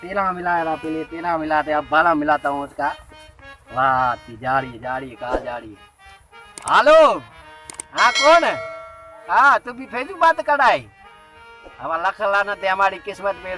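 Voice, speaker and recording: A man's voice: sits high, moderate pace, bright, forceful tone, soft background music. A man playing a luckless, rambling bachelor in a comedy skit, complaining animatedly about his search for a wife. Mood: frustrated. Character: luckless, grumbling bachelor desperately hunting a wife by phone. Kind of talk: improvised comedy skit, casual chat.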